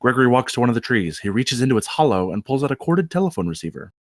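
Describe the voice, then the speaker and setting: A man narrates screenplay stage directions at a table read. His medium-pitched voice moves with lots of variation, and the sound is dry and close. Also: very animated, fast, dry recording.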